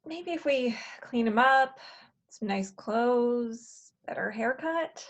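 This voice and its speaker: Woman acting a blunt, wry, highish-voiced schemer. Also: expressive, slow, highs cut off. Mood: incredulous, scheming. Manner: dry, wry, knowing. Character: blunt, worldly, teasing woman, another future self. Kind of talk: comedic virtual table read acting.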